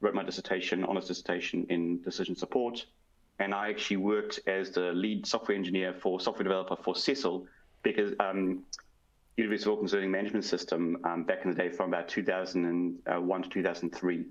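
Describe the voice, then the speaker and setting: A man in his forties with a South African accent and a very low, deep voice speaks over a headset on a video call. He answers interview questions at length, fluent but filling pauses with ums and uhs. The call audio sounds a little dull and muffled. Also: level tone. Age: 40s. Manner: fluent, with frequent ums and uhs, gesturing with hands. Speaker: guest, product strategy manager. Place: home room.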